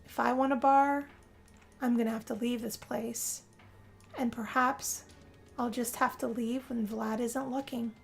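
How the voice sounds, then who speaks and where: Woman acting weary young female game character, very unhurried, sighing, dramatic first-person storytelling, slightly high pitch. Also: steady tone, creaky. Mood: weary, queasy. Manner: sighing, drawn-out, confessional delivery. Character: young woman Yazmin, moody, weary, being turned vampire. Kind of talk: first-person dramatic role-play narration over a game. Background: guitar music.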